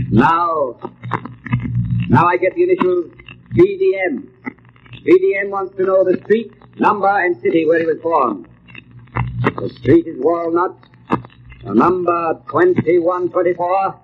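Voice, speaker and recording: Actor as high-voiced theatrical stage mentalist, noisy radio. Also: male voice, lively intonation, natural voice. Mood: concentrating, insistent. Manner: formal, deliberate, commanding. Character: grave, theatrical stage mentalist addressing his audience. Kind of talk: 1940s radio acting. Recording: noisy, tinny old radio recording.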